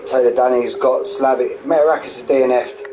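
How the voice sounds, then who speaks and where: Hoarse man calling a sim race. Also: mid-range voice. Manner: quick excitable play-by-play, chatty asides to viewers. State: losing his voice, hoarse. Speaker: sim racing league commentator. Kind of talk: live race commentary streamed. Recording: noisy streamed audio.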